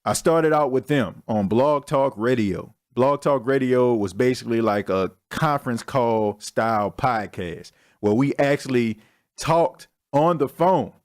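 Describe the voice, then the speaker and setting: A man's voice: medium pitch, measured pace. Candid, slangy man hosting a solo podcast. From Texas. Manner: candid, slangy, self-mocking. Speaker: podcast host. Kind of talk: casual solo podcast monologue.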